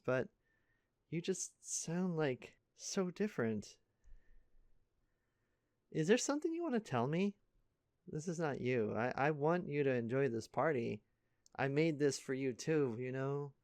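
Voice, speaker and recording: A man playing an earnest, caring high school senior in an intimate audio roleplay, speaking casually and haltingly to a best friend. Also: smooth, dry recording, clean studio sound, lightly acted. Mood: worried, confused, then earnest, reassuring. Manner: casual, halting, stammering, conversational.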